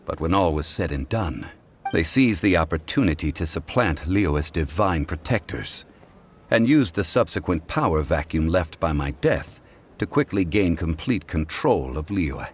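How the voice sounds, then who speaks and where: An actor playing a calm, ancient god in mortal form in a video game, formal, measured and eloquent. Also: male voice, low voice, a little hiss or hum. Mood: composed, satisfied.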